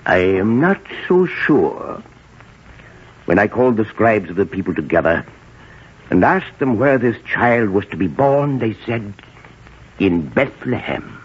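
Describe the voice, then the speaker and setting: An imperious king in a 1940s radio drama, brooding and suspicious of plots. His husky voice swings widely as he gives commands. The old broadcast recording is muffled. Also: fairly low pitch, big pitch swings, unhurried, heavily acted.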